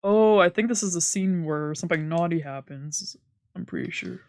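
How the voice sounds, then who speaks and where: Low-pitched woman reading a story aloud. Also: normal speed, darkish tone, no room sound. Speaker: streamer reading a visual novel aloud. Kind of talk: expressive narration of a visual novel, with casual asides. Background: game background music.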